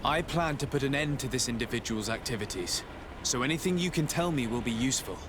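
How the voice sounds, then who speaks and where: A man's voice: medium pitch, lively intonation, quick pace, noisy background, lightly acted. An actor playing a formal, commanding male noble legate in a video game. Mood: composed.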